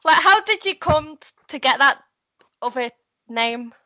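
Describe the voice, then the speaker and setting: A woman's voice: high-pitched, lively intonation, measured pace, pushed and bright, dry recording, highs cut off. A woman hosting an online radio show, friendly and giggly as she introduces guests and asks questions. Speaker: host.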